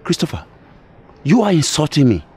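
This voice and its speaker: Proud Nigerian uncle, wildly swinging pitch. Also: male voice, very animated, warm tone, slightly rough, full character voice. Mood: indignant. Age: middle-aged. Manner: indignant, insulting, drawn-out delivery. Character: proud, quarrelsome red-capped uncle, bitter and threatening. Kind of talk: film drama acting.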